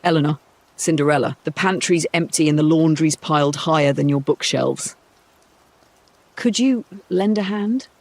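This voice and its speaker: A weary, sardonic noblewoman, read by a man in a storybook. The voice is husky and creaky, with practical, dry wit and a close, dry sound. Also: on the high side, moderate pace, a little mellow, dry recording. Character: weary, dry-witted middle-aged noblewoman stepmother.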